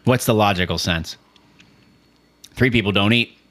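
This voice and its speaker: A man's voice: fairly low pitch, moderate pace, a bit bright. A man co-hosting a podcast, riffing casually and comically in loose, slangy speech. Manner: informal slangy speech, says "dude" and "like" often. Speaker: podcast co-host. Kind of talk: casual comedic podcast chat.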